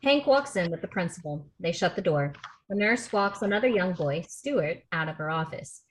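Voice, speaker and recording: A woman's voice: lowish voice, moderate pace, slight background noise, low-quality recording. Woman reading stage directions steadily. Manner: steady, matter-of-fact reading. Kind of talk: table read narration of a script. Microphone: video call.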